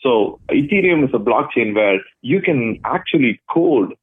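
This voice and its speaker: Guest from India, hesitant and thoughtful, thin phone-line sound, dry. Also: male voice, medium pitch, lively intonation, a little slow, slightly rough, dry recording. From Chennai, India. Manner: thoughtful, hesitant with frequent uhs. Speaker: guest, blockchain project lead. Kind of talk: interview answers.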